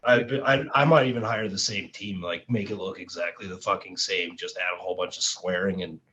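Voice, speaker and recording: A man's voice: low-pitched, fairly even intonation, a little fast, sharp tone. A man co-hosting a podcast in casual, opinionated banter, swearing freely. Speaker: podcast co-host. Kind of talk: casual banter among friends. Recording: dull lo-fi audio with background noise.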